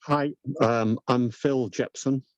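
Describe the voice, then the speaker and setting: A man in his fifties with a northern English accent, introducing himself on a video call in a measured, gently self-deprecating way. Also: mid-range voice, steady tone, dry recording, dull audio. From northern England. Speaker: business owner, guest.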